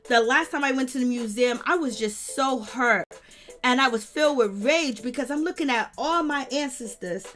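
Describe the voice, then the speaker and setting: Hoarse woman, fairly high voice, fervent livestream host, faint music under her. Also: fairly bright tone. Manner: fervent, preachy, talks to chat viewers. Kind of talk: impassioned livestream commentary.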